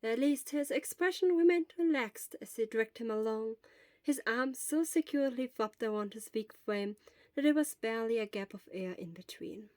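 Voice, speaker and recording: Soft-spoken woman narrating a story. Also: on the high side, expressive, normal speed, dry recording. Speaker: fan fiction reader.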